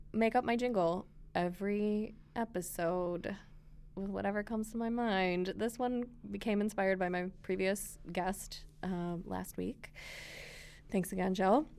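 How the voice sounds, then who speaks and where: A woman hosting a casual chat podcast from a library room, a bit hoarse from a cold, bubbly and quick to giggle. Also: medium pitch. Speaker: podcast host.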